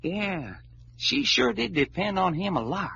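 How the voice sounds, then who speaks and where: An actor playing a folksy, hot-blooded adventurer with a big appetite and a Southern drawl, in old-time radio drama style. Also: male voice, high-pitched, sing-song, measured pace, creaky, sharp tone, full character voice. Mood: wistful. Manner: folksy drawl full of colorful slang. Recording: muffled, hissy old radio recording.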